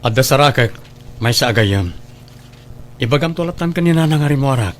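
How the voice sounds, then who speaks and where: A man's voice: mid-range voice, animated, a little fast, natural voice. Reserved young Filipino man played by an actor. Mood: casual. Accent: Filipino, Ilocano. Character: reserved, plain-spoken young man being courted. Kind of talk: Ilocano radio drama acting.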